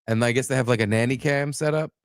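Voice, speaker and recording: A man's voice: medium pitch, fast talker, clean tone, dry recording, clean studio sound. A man hosting a podcast, chatting casually and comedically, telling profane stories with acted-out exclamations. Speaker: podcast host.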